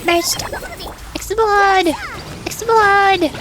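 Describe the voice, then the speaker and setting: A woman's voice: very high voice, steady tone, very slow, noisy background. A woman streaming a game with casual live commentary, playful and theatrical, often in short exclamations. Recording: game music behind the voice.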